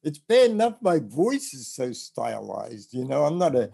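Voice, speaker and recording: A man hosting a podcast about the music business, rambling and animated as he gives advice, with a rough voice. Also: mid-range voice, measured pace. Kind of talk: casual advice chat.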